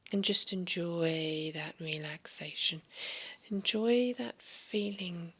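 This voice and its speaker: A woman speaks in a slow, breathy, mostly whispered voice, leading a guided hypnosis for relaxation and sleep. Her pitch sits on the low side, and her pace is very unhurried. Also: a bit bright. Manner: slow, soothing, largely whispered delivery.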